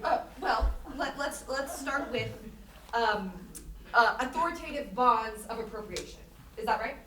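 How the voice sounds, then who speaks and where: A woman acting a polite, puzzled interviewer, halting and apologetic in a staged interview. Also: a little high, expressive, fairly bright tone. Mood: puzzled, hesitant. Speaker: actor in a staged reading. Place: staged reading in a reverberant room. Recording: echoey room, distant microphone, noisy.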